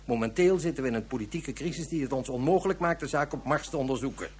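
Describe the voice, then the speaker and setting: An actor playing a grave, cautious interplanetary police inspector in a radio drama, speaking in a deliberate, official manner. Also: male voice, medium pitch, breathy edge, lightly acted.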